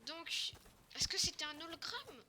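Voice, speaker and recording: High-pitched young survivor, played by a woman, noisy recording. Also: very animated, sharp tone, exaggerated voice. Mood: puzzling, scheming. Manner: halting, repetitive, informal. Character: forceful, confused half-zombie apocalypse survivor. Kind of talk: improvised amateur game roleplay. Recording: noisy home recording with clicks.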